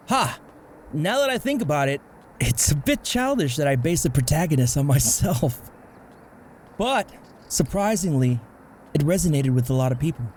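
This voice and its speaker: A man plays a middle-aged father in an amateur comic dub, easygoing and modest about himself. His voice sits at an average pitch and he talks casually and thoughtfully. There is noticeable noise behind him. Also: a little mellow, natural voice. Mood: sheepish. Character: easygoing, self-deprecating middle-aged father, powerless author.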